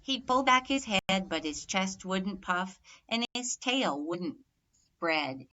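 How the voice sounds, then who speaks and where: A woman reading a rhyming children's story aloud expressively, measured and emphatic on the rhymes. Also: medium pitch, lively intonation, sharp tone, dull audio. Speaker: storybook reader.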